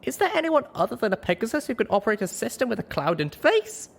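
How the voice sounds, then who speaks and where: A man's voice: very high-pitched, big pitch swings, quick pace, creaky, voice pushed up, heavily acted. A man voicing a plucky young female pony adventurer who is cocky and easily exasperated, in an audio story. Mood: baffled.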